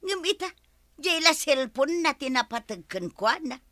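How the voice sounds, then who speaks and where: A gossipy village woman in a radio comedy drama. Her voice is high and hugely animated, yet she takes her time over the words, sounding excitable and nosy. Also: a little slow, no echo, clean studio sound, full character voice.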